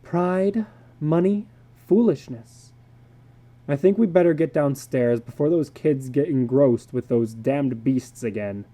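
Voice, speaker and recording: A stern husband and father in a dramatic story, acted out by the narrator. He is exasperated and commanding, hitting words hard. His pitch moves widely over a soft, unsharp tone. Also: animated, slow pace. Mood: weary. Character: fed-up, stern husband and father, adult man.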